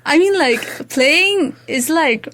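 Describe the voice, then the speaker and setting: Young woman, high-pitched, Nepali accent, Maithili native speaker, informal, reflective, conversational interview guest. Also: expressive, slow, fairly bright tone. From Tarai region of Nepal. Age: young adult.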